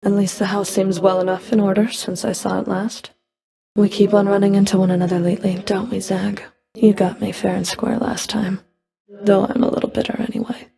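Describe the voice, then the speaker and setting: A woman playing a stern, scornful immortal underworld enforcer in a video game, speaking in a cold, curt, guarded way with a gravelly edge. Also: medium pitch, little pitch movement, mellow. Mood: grudging, bitter. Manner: cold, curt, disdainful, deliberate threats.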